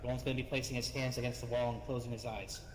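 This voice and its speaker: A man talking casually as a player in an online role-play, often halting and repeating his phrases. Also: mid-range voice, level tone, over music. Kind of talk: casual in-character tabletop-style role-play. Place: online VRChat voice session. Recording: echoey reverberant audio with background noise.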